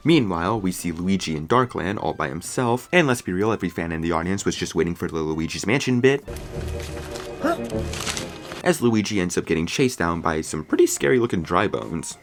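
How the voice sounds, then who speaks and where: A man narrating a scripted movie review as commentary. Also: low voice. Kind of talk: scripted video essay commentary.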